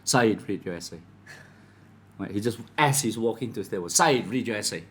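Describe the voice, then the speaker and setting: A man's voice: fairly low pitch, fairly quick, fairly bright tone, breathy edge. A middle-aged Malaysian man with a Malaysian English accent, telling rambling stories about his life as a guest in a casual chat. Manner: rambling, anecdotal, many restarts. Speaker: guest filmmaker.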